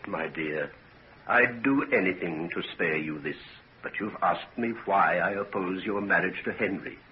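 An actor playing a grave, protective middle-aged father in a 1940s radio drama, speaking with solemn, deliberate earnestness. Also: low voice. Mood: grave, reluctant. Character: grave, suspicious, protective father of a grown daughter. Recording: dull, noisy 1940s radio recording.

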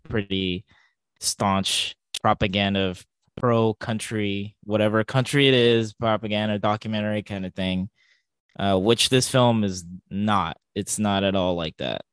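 A man's voice: on the low side, measured pace, fairly bright tone, clear, resonant tone, no room sound. A man co-hosting a podcast in casual discussion, thinking aloud and often hesitating or correcting himself. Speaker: podcast co-host.

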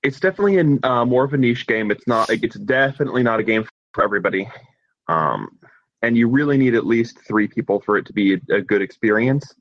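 A man's voice: medium pitch, expressive, a little fast, no echo. A man co-hosting a podcast, giving casual, opinionated game review commentary over a lo-fi microphone.

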